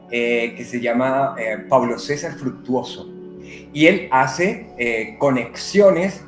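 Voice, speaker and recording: Man from Colombia, medium-guest type, measured and pausing, echoey room. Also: medium pitch. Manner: unhurried, many "eh" pauses. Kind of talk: conversational interview. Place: living in Brazil.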